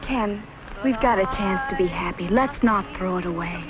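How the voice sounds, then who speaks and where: A woman's voice: medium pitch, normal speed, music in the background, natural voice. An actress playing a lovestruck, earnest young bride in a 1950s educational film drama, pleading with her sweetheart. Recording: muffled, noisy 1950s film soundtrack.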